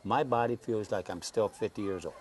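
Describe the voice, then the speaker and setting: A man in his eighties, a guest on a talk show, speaking slowly and haltingly and repeating words as he searches for them. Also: on the low side. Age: 80s. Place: Saipan.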